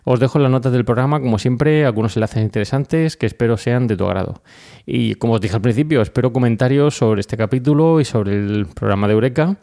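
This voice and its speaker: A man with an accent from Spain hosting a podcast, explaining things informally and pausing hesitantly mid-sentence. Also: mid-range voice, gravelly, mellow. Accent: Spanish from Spain. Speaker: podcast host.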